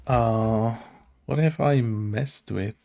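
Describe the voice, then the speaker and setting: A man talks to himself while coding, in a casual, muttered way. His delivery is extremely slow and halting, with long drawn-out gaps. The voice is mellow and full of heavy vocal fry. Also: mid-range voice. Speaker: game developer making a coding tutorial.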